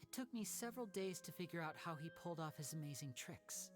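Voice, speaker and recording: An actor playing a sincere young male magician in a video game, soft-spoken, earnest and polite. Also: medium pitch, lively intonation, quick pace, close to an everyday voice. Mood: earnest, apologetic. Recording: game music clearly behind.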